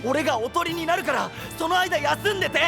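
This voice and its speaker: A man voicing an energetic, reckless, good-natured young hero in a Japanese anime-style voice drama, casual and hot-blooded. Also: very high voice, brisk, vocal fry, over music, heavily acted. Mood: determined.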